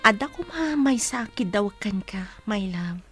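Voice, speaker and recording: A woman playing a soft-spoken, loving fiancee in a radio drama. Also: medium pitch, lively intonation, a little slow, music in the background, natural voice. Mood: tender.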